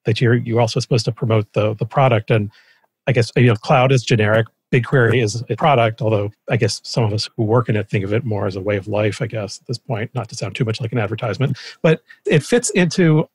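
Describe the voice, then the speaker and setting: A man's voice: medium pitch, fast talker, darkish tone, breathy edge. A man hosting an interview, asking questions in a tentative, roundabout, conversational way. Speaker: podcast host, interviewer.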